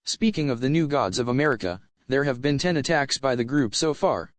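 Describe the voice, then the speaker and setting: Earnest man as a studio news anchor, mid-pitched, dry recording, homemade mock newscast. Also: lively intonation, a little fast, no echo, lightly acted. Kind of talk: amateur mock news broadcast.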